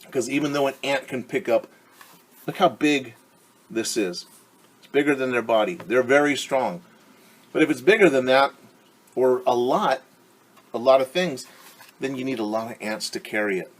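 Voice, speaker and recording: Animated man reading to young children. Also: medium pitch, moderate pace, pauses often. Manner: animated, explaining, asks children questions; playful sound effects. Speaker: teacher reading a picture book to children. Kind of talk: children's story time read-aloud.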